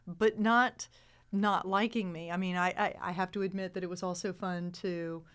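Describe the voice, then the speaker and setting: Smooth, low-voiced woman chatting casually. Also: steady tone, moderate pace. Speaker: voice actress as podcast panelist. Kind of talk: casual chat.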